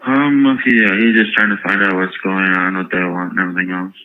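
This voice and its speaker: Guy on a jail phone, lowish voice, hesitant, keeps stalling, muffled lo-fi line. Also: level tone. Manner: halting, hesitant, filler-heavy. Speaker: jail inmate on a phone call. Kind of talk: casual phone interview.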